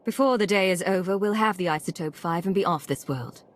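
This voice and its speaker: An actress playing a composed, resolute secret agent commander in a video game, speaking in a cool, commanding manner. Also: female voice, fairly low pitch, a little fast, lightly acted.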